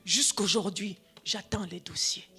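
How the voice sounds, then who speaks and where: A woman whose first language is Swahili, preaching a sermon, dropping to hushed whispers and then rising to shouts, repeating phrases for emphasis. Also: medium pitch, fairly even intonation, unhurried, slightly rough, soft background music. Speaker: preacher, addressed as apostle.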